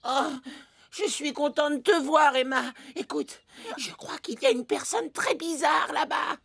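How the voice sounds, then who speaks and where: An actor voicing a naive, excitable young penguin in a French children's cartoon, exclamatory and expressive. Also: high-pitched, measured pace, gravelly, dry recording, studio quality, exaggerated voice. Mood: anxious. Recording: music behind the voice. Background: children's cartoon music.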